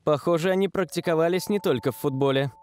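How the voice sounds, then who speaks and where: A man's voice: on the high side, fast talker, a little mellow, natural voice. A man voicing a determined, skeptical young football star in a cartoon dub, earnest and questioning. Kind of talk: animated cartoon dubbing.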